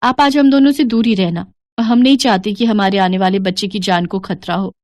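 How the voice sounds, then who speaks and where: A woman's voice: mid-range voice, brisk, a little mellow, dry recording, lightly acted. A woman voicing a haughty, superstitious young pregnant daughter-in-law in a family story, insistent and emphatic. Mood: insistent, cold.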